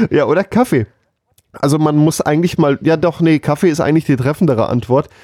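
A man's voice: on the high side, expressive, brisk, darkish tone, slightly rough, no room sound, studio quality. A man chatting casually and jokingly as a podcast co-host. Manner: joking, playful. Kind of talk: casual comedic podcast banter.

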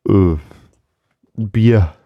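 A man's voice: lowish voice, extremely slow, highs cut off, noisy background. A man chatting casually and jokingly as a podcast co-host. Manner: joking, playful. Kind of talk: casual comedic podcast banter.

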